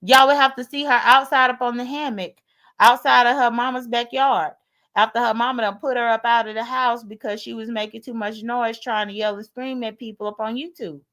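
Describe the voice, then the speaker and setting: American lady late 30s, kinda high voice, sassy livestream chat, super blunt, cusses a lot. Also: fairly even intonation, brisk, fairly bright tone. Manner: blunt, sassy, swears freely. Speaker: livestream host. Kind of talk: casual livestream chat, airing grievances.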